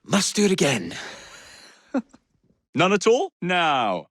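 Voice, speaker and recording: An actor with an English accent playing a cocky, roguish young Victorian gang leader in a video game, with a cheeky, wry, theatrical manner. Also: male voice, high voice, wildly swooping pitch, slow, a bit bright, dry recording, studio quality, heavily acted. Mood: playful, teasing.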